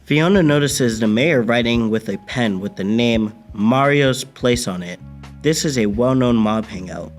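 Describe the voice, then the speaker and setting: A man's voice: mid-range voice, measured pace. A young man giving a dramatic scripted narration for an amateur radio mystery. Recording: music under the voice.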